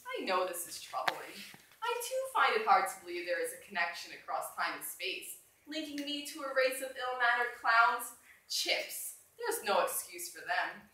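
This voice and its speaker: A woman reading a storybook aloud as a proud, dignified, wry male gorilla, in a measured, solemn manner. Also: a little high, sing-song, bright, forceful tone. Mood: wry, disdainful. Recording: echoey room from far off, faint noise.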